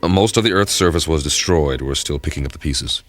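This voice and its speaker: A weary spaceship captain talks in a deep voice, earnest and a little halting. He sounds baffled but polite, in classic radio drama style, with faint music behind him. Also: fairly bright tone.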